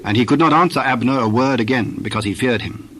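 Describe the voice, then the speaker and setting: A man reads scripture as narrator. His medium-pitched voice is solemn and measured, placing each phrase separately. Noticeable noise sits behind the reading. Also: a bit bright, rough recording.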